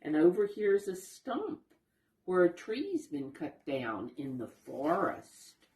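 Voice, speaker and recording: Brief: female children's storyteller, mellow and very unhurried, patient explanations, simple questions, roomy sound. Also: on the low side, big pitch swings. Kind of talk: children's read-aloud lesson, addressing young listeners.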